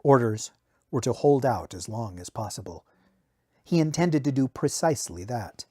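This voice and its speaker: A man's voice: mid-range voice, lively intonation, moderate pace, darkish tone, breathy edge, dry recording. A man who hosts a podcast, speaking in the style of an amateur audiobook narrator in a close home recording. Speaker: podcast host reading a novel excerpt.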